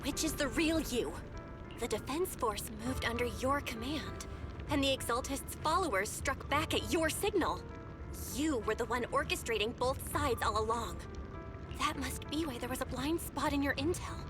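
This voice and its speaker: A woman voicing a sharp, resolute young heroine in a video game, with an earnest, deliberate and pointed delivery. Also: high-pitched, animated. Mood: accusing, earnest. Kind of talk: dramatic video game voice acting. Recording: loud music behind the voice. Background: dramatic game music.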